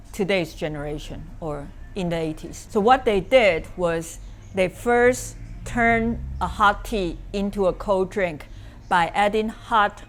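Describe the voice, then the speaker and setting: Lowish-voiced woman with a Taiwanese accent. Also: slight background noise. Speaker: interviewee, cafe owner. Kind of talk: informal explanatory interview talk.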